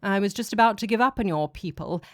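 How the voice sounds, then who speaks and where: A woman's voice: mid-range voice, fast, no echo, studio quality, close to an everyday voice. A woman voicing a cloaked male false god of aliens in an audiobook, menacing and contemptuous, with a sneering, commanding manner. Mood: menacing disgust. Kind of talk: dramatic audiobook character voice.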